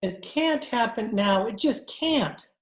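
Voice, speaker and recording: A man's voice: very high voice, animated, takes it slowly, exaggerated voice. A man playing a genteel, gallant, world-weary judge in an amateur old-time radio play. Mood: indignant. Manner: genteel, formal. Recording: roomy echo, online call recording.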